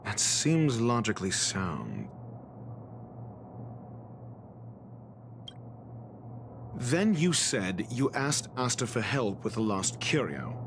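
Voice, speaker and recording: A man's voice: medium pitch, background noise. A man voicing a haughty, skeptical scholar in a video game, speaking in a pompous, sardonic and elaborately articulate way. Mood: probing. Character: haughty, skeptical male scholar interrogating suspects with cool disdain. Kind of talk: theatrical video game dialogue.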